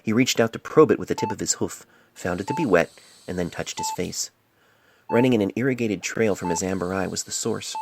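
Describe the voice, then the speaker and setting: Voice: sits low, brisk, background noise. An amateur narrator reading a story dramatically into a cell phone. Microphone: cell phone mic. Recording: amateur phone recording with music behind.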